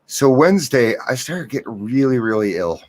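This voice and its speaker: A man hosting a recovery livestream, talking softly in a candid, rambling way while unwell and worn out. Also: medium pitch, sing-song, moderate pace. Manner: rambling, candid, self-deprecating, many restarts. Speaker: livestream host of a recovery reflection. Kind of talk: casual livestream chat. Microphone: computer mic with gain turned up.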